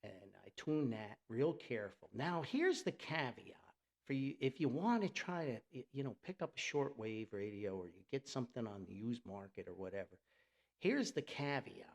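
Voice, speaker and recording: A man speaks as an enthusiastic radio hobbyist hosting his own podcast. His mid-pitched voice has a gravelly creak and moves in lively swings. The delivery is folksy and off the cuff, like casual storytelling. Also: moderate pace. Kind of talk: casual unscripted podcast monologue.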